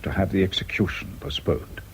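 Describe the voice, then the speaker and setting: Man acting calm persuasive guy in a radio play, low voice, deliberate, bit of noise on it. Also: natural voice. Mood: quietly insistent. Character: calm, probing man quietly pressing for doubt.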